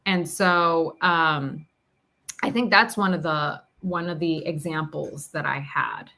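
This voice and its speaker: A woman talking reflectively and casually about personal experience, feeling her way through her thoughts in a halting, searching delivery. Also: low voice, gravelly, pushed and bright. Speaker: podcast host sharing personal reflections. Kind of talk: reflective, casual spiritual conversation.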